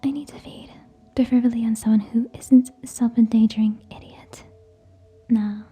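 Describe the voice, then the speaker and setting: A woman playing a haughty, teasing tsundere vampire in a scripted ASMR roleplay, whispering scornfully and deliberately, close to the microphone. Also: on the high side, steady tone, dark tone, husky. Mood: irritated, lecturing.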